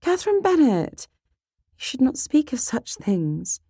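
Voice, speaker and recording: A woman voicing a proper, gentle, easily shocked young gentlewoman in a period audiobook, prim and polite in manner. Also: mid-range voice, moderate pace, no room sound, clean studio sound, voice pushed up, lightly acted. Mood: prim, indignant.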